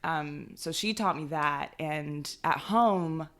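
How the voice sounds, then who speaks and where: A woman in her thirties with a low voice talks casually as an interview guest. She rambles loosely, dropping "like" and "you know what I mean" and laughing often. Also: slow pace, a bit bright. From Canada. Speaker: DJ and producer guest.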